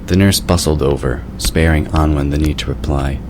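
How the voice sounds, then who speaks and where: A man's voice: extremely low pitch, steady tone, normal speed. A man reading scripted story narration for an audio drama. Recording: clearly noisy background.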